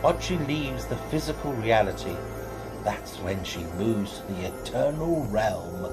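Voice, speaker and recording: A man's voice: lowish voice, expressive, measured pace. A man playing an earnest, knowing explainer in an audio drama, with a dramatic delivery. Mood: explaining. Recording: loud music under the voice.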